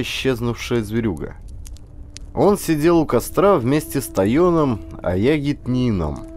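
A man reads an adventure story as a dramatic audiobook. His medium-pitched voice moves widely in intonation. He delivers it theatrically, with deliberate pauses. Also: darkish tone. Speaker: audiobook narrator, actor. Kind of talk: dramatic scripted audiobook narration of historical adventure.

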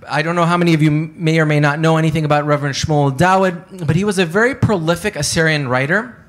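A man in his thirties gives a loose, chatty academic talk at a conference panel, his voice a touch higher than most men's. He hedges often and leans on fillers as he explains. He speaks into a handheld microphone in a hotel meeting room. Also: fairly bright tone. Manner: conversational lecturing with many fillers and hedges.

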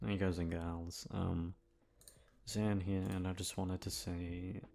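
Casting: young male voice actor in casual outro chat, low-pitched, creaky, rambling and unsure of himself. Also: flat delivery, slow pace, dark tone. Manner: rambling, self-conscious, hesitant. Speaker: audio roleplay voice actor.

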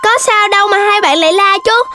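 An actor voicing a gentle, earnest, slightly whiny young rabbit in a children's cartoon, with a southern Vietnamese accent. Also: extremely high pitch, fast. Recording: loud music behind the voice.

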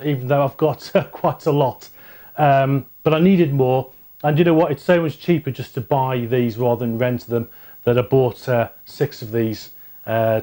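A man's voice: medium pitch, warm tone. A man in his forties with a British accent, giving a casual product review to camera in a home office. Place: small home office indoors.

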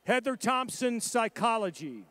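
A man with a strikingly high voice calls out graduates one by one at a college commencement. His reading is measured and formal, a steady roll call of names and programs, each pronounced with care. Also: no echo. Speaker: commencement name reader.

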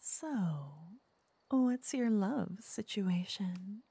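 Breathy, flirty witch, voiced by a woman. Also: mid-range voice, animated, extremely slow, no room sound, exaggerated voice. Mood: flirty, teasing. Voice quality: soft, breathy, often whispering. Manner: flirtatious, coaxing, intimate. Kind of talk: ASMR roleplay. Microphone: close microphone.

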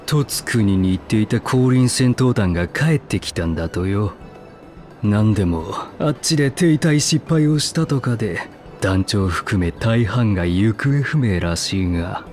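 A man's voice: on the low side, lively intonation, measured pace, a little mellow, soft background music. A man voicing a casual tavern customer passing on gossip in a video game cutscene.

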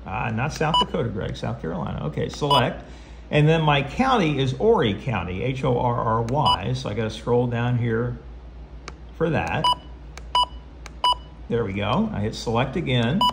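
A man with a medium-pitched voice walks through instructions casually, step by step. He has a folksy manner and talks himself through what he is doing. The home recording has clear background noise. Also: lively intonation, normal speed. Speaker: presenter of how-to video. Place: indoors at home, beside a tabletop radio.

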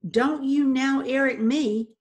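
Lady doing a grumpy big husband in a storybook, gruff and grumbling, short temper. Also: mid-range voice, brisk, a bit bright, lightly acted. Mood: angry. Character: grumbling, bad-tempered heavyweight husband, a custodian.

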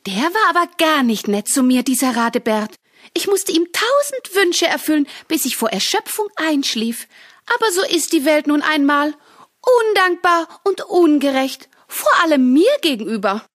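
A prim good fairy in a children's radio play. Her high voice moves in lively swoops as she moralizes indignantly, recorded close and dry. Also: moderate pace, no room sound. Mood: aggrieved. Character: prim, dutiful, aggrieved female good fairy.

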